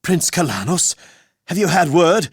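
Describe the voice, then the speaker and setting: An actor playing an earnest, resolute young army commander in a fantasy game, speaking in a solemn, declamatory way. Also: male voice, a little high, sing-song, a little slow, breathy edge, dry recording, studio quality, exaggerated voice. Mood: solemn, concerned. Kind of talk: heroic fantasy game cutscene acting.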